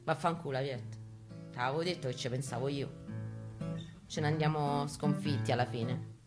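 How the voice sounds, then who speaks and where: Over clear guitar music, a woman plays a hot-headed young Roman militant in a spoken-word story. The delivery is defiant, brash and full of street slang. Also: sits low, steady tone, normal speed, pitched below the performer's own voice, natural voice. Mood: bitter. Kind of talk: dramatic spoken-word reading. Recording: live guitar under the voice.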